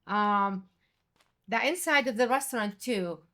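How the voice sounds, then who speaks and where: A middle-aged woman from Jordan, a restaurant owner and chef, speaking English as an in an animated, conversational interview. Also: medium pitch, a little slow. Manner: animated, quotes others with raised voice.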